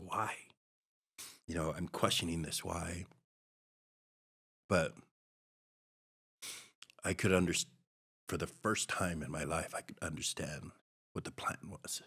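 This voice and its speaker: Deep-voiced man telling his life story. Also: bright, forceful tone, slightly rough. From Hawaii. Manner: halting, reflective, many restarts and ums. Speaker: podcast guest. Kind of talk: personal storytelling interview.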